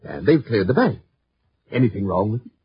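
A man's voice: fairly low pitch, sing-song, a little fast, husky, dry recording, heavily acted. An actor playing an uneasy, deferential male insurance broker in a 1950s radio drama, hesitant and polite. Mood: uneasy, obliging. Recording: muffled 1950s radio recording.

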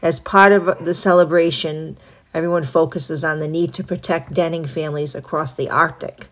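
A woman with a low voice hosts her own podcast. She is stuffed up with a cold, and her nose sounds blocked. She reads items from a list in a steady, even way and drops in brief asides. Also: moderate pace. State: congested from a cold. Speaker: podcast host. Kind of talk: solo podcast reading a list.

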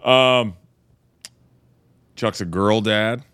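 A man hosting a podcast, chatting in a relaxed, casual way and swearing freely. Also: lowish voice, very slow, clean tone. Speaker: podcast host. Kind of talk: casual conversation.

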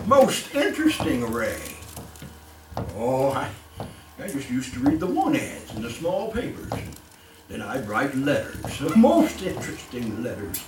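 A man's voice: medium pitch, huge pitch swings, a little slow, background noise. An actor playing a gleeful, sinister old male killer in old-time radio style, stammering and chuckling with mock courtesy. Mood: gleeful menace. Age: older. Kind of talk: live old-time radio horror acting. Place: live stage performance. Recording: roomy, distant live stage with music.

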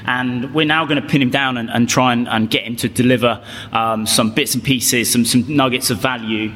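A man's voice: medium pitch, steady tone, moderate pace, a bit bright. A man hosting a podcast interview, talking with many fillers and repeated words. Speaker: podcast host. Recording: long reverb, faint music.

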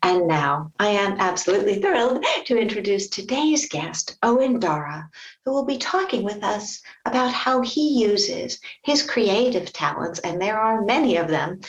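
A woman hosting a podcast as a clinical psychologist, introducing her guest with enthusiastic, warm phrasing. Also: medium pitch, echoey room, muffled recording. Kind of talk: podcast interview.

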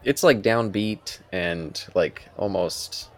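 A man's voice: fairly low pitch, expressive, takes it slowly. A man chatting casually about films as a podcast co-host, with a hesitant, rambling delivery.

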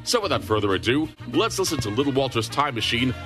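Male radio host, conversational, quick pace, mid-range pitch, faint music underneath. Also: very animated. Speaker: internet radio show host. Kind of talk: conversational talk and show introduction.

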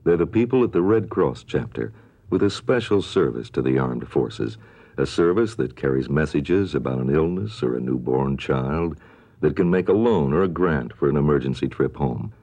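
A man's voice: extremely low pitch, animated, normal speed, warm tone, husky. A man reading a scripted public service appeal for radio as an announcer.

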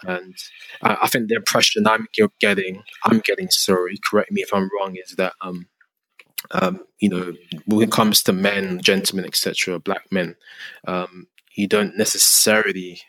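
A man's voice: sits low, steady tone, normal speed, pushed and bright, no room sound, low-quality recording. A man hosting a podcast, chatting casually with a guest, with many fillers and restarts. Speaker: podcast host. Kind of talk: casual interview chat. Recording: dry with faint music.

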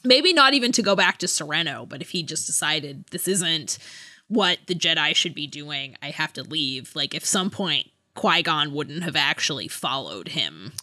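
A woman with a fairly low, very bright voice chats casually as a podcast co-host. She is talkative and giggly, keeping things informal as she discusses books. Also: lively intonation, normal speed.

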